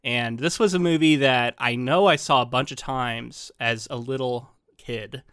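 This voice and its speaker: A man's voice: mid-range voice, a little slow, clear, resonant tone, no echo, clean studio sound. A man co-hosting a podcast, sounding a little congested, chatting casually with lots of ums and restarts. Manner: rambling, animated, frequent ums and restarts. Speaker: podcast co-host.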